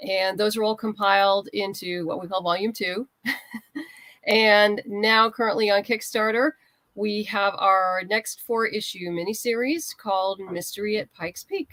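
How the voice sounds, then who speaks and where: A woman talks as a guest writer in a casual interview. Her voice sits at a medium pitch, recorded dry with very little room sound. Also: steady tone, measured pace, fairly bright tone, dry recording.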